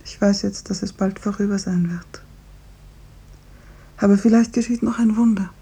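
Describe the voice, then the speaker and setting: An actress in a 1950s radio drama playing a suffering, bravely resigned dying patient, with a breathy, often half-whispered voice. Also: female voice, medium pitch, steady tone, moderate pace, mellow. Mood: weak, tender, resigned.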